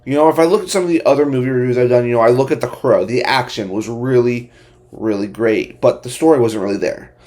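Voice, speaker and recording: A man with a mid-pitched voice talks through a film review in a casual, unscripted way. He hesitates and repeats himself, leaning on verbal tics, then lands his opinions with emphasis. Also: darkish tone. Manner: halting, repetitive speech full of hedging verbal tics. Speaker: amateur film reviewer and host.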